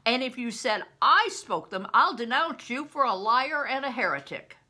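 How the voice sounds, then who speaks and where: Storybook role: gruff, preachy man, played by an older woman, forceful and highly animated. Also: normal speed, exaggerated voice. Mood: angry, warning. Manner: emphatic, commanding, preachy. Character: rough, gruff, opinionated big man, storybook mentor.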